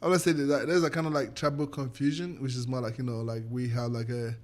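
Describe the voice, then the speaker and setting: Young man, Nigerian accent, native speaker of a local language; podcast guest, informal animated storyteller, mid-range voice. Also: medium pitch, fast. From Nigeria, Edo State. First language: Edo State local language. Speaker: podcast guest, former college athlete. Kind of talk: casual chat.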